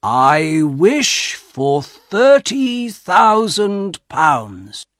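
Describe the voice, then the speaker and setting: A man reading a story aloud and voicing a quiet, cautious old father. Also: a little high, big pitch swings, husky, no echo, clean studio sound, voice pushed up, heavily acted. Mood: hesitant. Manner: slow, hesitant and quiet.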